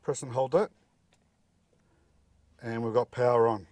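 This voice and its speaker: A man's voice: mid-range voice, fairly even intonation, measured pace, a little mellow, dry recording. A middle-aged man walks through a job step by step in a casual, plain-spoken, practical way. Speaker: DIY presenter. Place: outdoors at a car engine bay and inside the vehicle cab.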